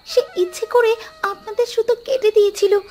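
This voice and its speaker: A woman's voice: extremely high pitch, steady tone, moderate pace, warm tone, slight background noise. A woman voicing an anxious, kindly mother mouse in a cartoon, earnest and motherly. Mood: pleading. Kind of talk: Bengali moral story cartoon acting.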